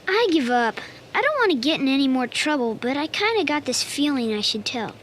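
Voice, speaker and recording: An earnest schoolboy speaks in a high, hesitant, childlike voice, confiding his worries. There is clear noise behind the voice. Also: big pitch swings, brisk, a bit bright. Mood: unsure. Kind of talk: family radio drama acting.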